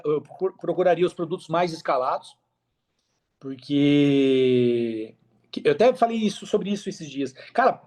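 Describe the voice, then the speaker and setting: Higher-pitched Brazilian man, colloquial podcast guest. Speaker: podcast guest, affiliate marketer. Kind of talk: casual podcast conversation, giving advice.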